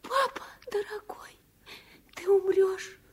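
A woman's voice: very high-pitched, slow. An actress playing an earnest, frank little girl in a Soviet radio play, with a childlike, naive way of talking. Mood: anxious, tearful. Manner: childlike, naive, bluntly honest.

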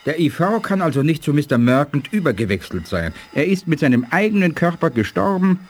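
Husky, solemn alien elder. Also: male voice, fairly high pitch, sing-song. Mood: calming, explanatory. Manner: measured, explanatory, grave. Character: learned, solemn alien elder statesman, calm but ominous. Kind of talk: 1980s German radio play acting.

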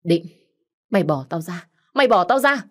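A woman voicing a defiant young husband who shouts back in anger. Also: lowish voice, very animated, moderate pace, breathy edge. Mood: furious.

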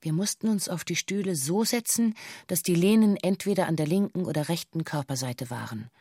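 An actress playing a bank employee who gives a sober, formal witness statement in a radio drama, factual and detached. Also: female voice, lowish voice, fairly quick, mellow, husky, no room sound, studio quality. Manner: factual, detached, precise official phrasing. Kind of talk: scripted documentary radio drama, formal testimony.

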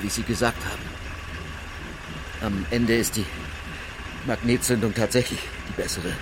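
A man playing an elderly, approving automobile pioneer with formal, old-fashioned courtesy in a historical docudrama. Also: lowish voice. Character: elderly male German automobile pioneer, approving, on a test drive. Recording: outdoors amid clear car engine noise.

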